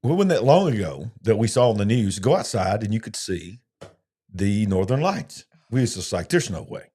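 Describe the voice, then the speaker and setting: A man's voice: lowish voice, very animated, normal speed, dark tone, slightly rough. A man in his fifties with an Alabama Southern accent, hosting casual live commentary in a folksy way, repeating himself for emphasis. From southern United States. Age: 50s. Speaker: host of a live show.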